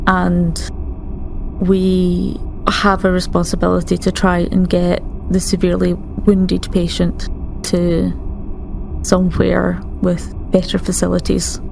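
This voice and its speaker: Female tabletop gamer, lowish pitch, measured formal discussion in character, clear background noise. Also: completely flat delivery. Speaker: player in a tabletop roleplaying game. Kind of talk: in-game discussion.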